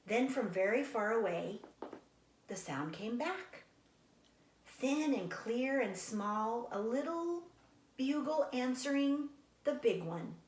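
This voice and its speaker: A woman's voice: on the high side, slow pace, slight background noise. Echoey woman reading a storybook aloud. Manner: explains to young listeners, animated sound imitations. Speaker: read-aloud teacher. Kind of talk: storybook read-aloud with casual explanatory asides. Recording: echoey room.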